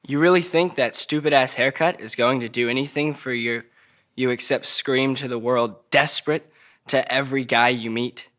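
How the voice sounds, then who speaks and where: A man's voice: medium pitch, fairly even intonation, normal speed, fairly bright tone, natural voice. A man playing a defiant young murder suspect in a dramatic table read, flippant and sarcastic. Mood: sarcastic, cocky.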